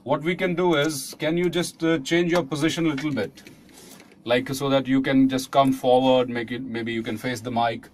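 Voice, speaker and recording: A man with an Indian accent coaching in a studio, giving casual, halting, repetitive direction. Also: mid-range voice, fairly even intonation, a little hiss or hum. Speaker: voice-over coach. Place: recording studio.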